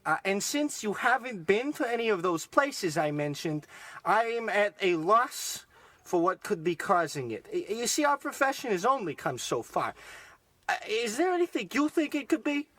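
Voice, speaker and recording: A fussy oddball doctor played by a man in an old radio play parody. The voice is high and swings widely as he stammers and then makes grand pronouncements, all captured very dry and close. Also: big pitch swings, normal speed, fairly bright tone, dry recording, exaggerated voice. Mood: flustered.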